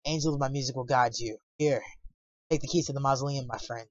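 A woman's voice: extremely low pitch, fairly even intonation, quick pace, no echo. Woman reading as a solemn grieving violinist. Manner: quiet, earnest reading of on-screen dialogue. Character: grieving male violinist composer, solemn and devoted. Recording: dull lo-fi stream audio.

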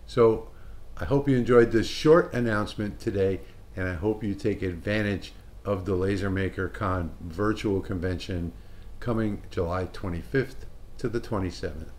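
An older man of about sixty with an American accent talks casually to the camera. His voice sits fairly low. He speaks as the host of a hobby channel, giving an informal announcement from a small workshop. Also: normal speed, a little mellow, slight background noise. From Louisiana, United States. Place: small indoor wood-panelled workshop.

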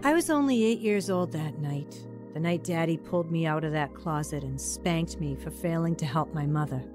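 An actress giving reflective, measured first-person narration of a woman recalling her childhood in a radio drama. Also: low voice, lightly acted. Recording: music bed under the voice.

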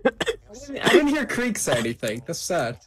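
A man's voice: on the high side, wildly swooping pitch, noisy background. Man casually steering a gaming session. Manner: organizes the group, halting restarts. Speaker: gamer leading a co-op session.

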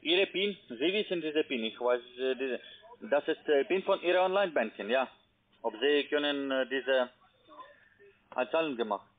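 A male call center agent with a foreign accent, heard over a thin phone line. His voice is medium in pitch, and he gives step-by-step instructions in halting, broken sentences. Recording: tinny narrowband phone line.

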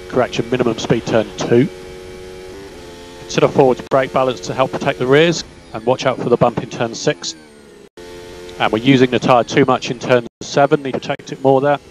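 A man working as a race engineer, giving calm, measured, clipped instructions over team radio. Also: mid-range voice. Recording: noisy radio link with car hum. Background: car engine hum.

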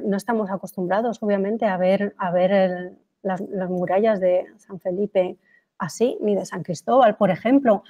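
A woman's voice: mid-range voice, darkish tone. Hesitant woman lecturing from slides. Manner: hesitant, many fillers and restarts; reads quotes aloud, asks rhetorical questions.

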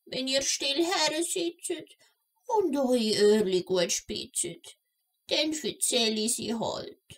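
Woman playing a very slow old kobold granny. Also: high-pitched, expressive, full character voice, low-quality recording. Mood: storytelling. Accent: Swiss German. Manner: slow, deliberate storytelling with scolding asides. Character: old kobold grandmother, grumbly but indulgent storyteller. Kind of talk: children's audio play acting.

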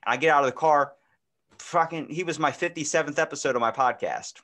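A man's voice: on the high side, a little fast. Young man swearing through casual stories. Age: 20s. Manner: animated, profane, slangy storyteller. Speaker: podcast host. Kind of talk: casual chat, storytelling. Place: indoor room, podcast video call.

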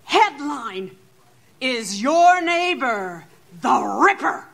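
An actress playing a quick-thinking, persuasive newspaper reporter in audio drama dialogue. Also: female voice, very animated, gravelly, a bit bright, heavily acted. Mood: pitching. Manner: pitching, persuasive.

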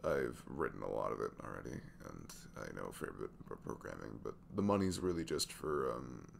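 Very deep crackly voice, male actor as sweet young single dad, halting casual chat, lively intonation, asmr roleplay. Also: exaggerated voice. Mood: candid, slightly sheepish. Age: 20s. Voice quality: deep, gravelly velvet voice. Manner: halting, chuckling, conversational. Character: sweet, easygoing single dad in his twenties.

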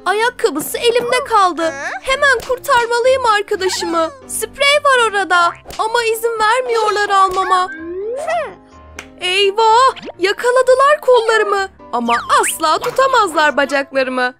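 A woman's voice: very high-pitched, expressive, a little slow, over loud music. A woman voicing a lion character in a children's cartoon, with exaggerated sing-song delivery. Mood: excited, urgent. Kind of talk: children's cartoon dub, rhymed lines.

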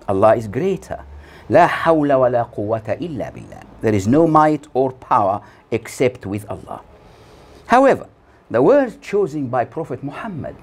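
A man in his sixties delivering a scripted sermon as a preacher, solemn and deliberate, with measured phrases and pauses. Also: medium pitch, a little mellow, slightly rough. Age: 60s. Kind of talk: scripted sermon read from notes. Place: small plain room indoors. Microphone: lapel mic.

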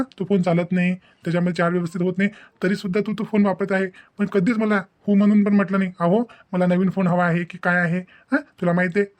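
A man's voice: high-pitched, darkish tone, natural voice. A man voicing a devoted young husband in a cartoon family drama, earnest and insistent, lecturing with questioning tags in a Maharashtrian Marathi accent.

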